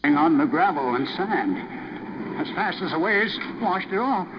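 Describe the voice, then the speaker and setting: A man narrating a story in an old-time radio drama, measured and storytelling. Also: on the high side, lively intonation, muffled recording, noisy background. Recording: old radio drama played through a speaker.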